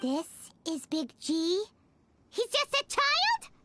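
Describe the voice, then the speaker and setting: An extremely high, bright squeak: a tiny childlike video game companion creature. The delivery swoops up and down, with faint noise behind. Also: female voice, wildly swooping pitch, slow, heavily acted. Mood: puzzled. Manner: talks about self in third person, bewildered. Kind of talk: video game character acting.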